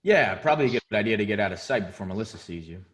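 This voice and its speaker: A man's voice: on the low side, rapid-fire, a little hiss or hum, close to an everyday voice. A man reading a crude, boisterous young line cook in a table read of a TV pilot. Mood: teasing. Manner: crude, excitable, laughs often. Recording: roomy echo.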